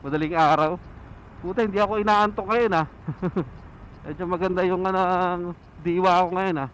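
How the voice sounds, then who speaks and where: A man's voice: sits high, normal speed, fairly bright tone, poor audio. A Filipino man chatting casually to himself as a motovlogger, rambling and repeating his words. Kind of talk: casual solo vlog chat while riding, with a cappella rap and singing. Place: outdoors on a moving motorcycle, inside a helmet. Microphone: action camera mic at the helmet. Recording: wind and vehicle noise inside helmet. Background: faint vehicle and road ambience.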